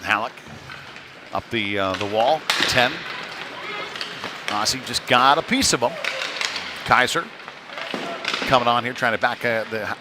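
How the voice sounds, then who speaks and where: A man giving halting, conversational live play-by-play of a youth hockey game in a folksy manner. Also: medium pitch. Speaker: play-by-play commentator. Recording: noisy live rink stream.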